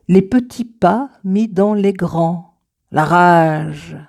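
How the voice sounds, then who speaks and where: A woman reading her own poems aloud in a measured, deliberate way, with dramatic pauses between short phrases. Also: on the low side, creaky, mellow. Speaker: poet reading own work. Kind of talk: slow recited poetry reading.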